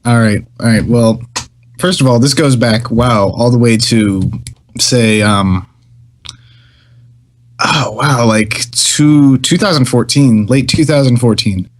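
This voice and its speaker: A man's voice: medium pitch, slow pace. A young man, founder of a small record label, telling his story in a casual interview, meandering and hesitant with many fillers. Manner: meandering, hesitant, laughs easily.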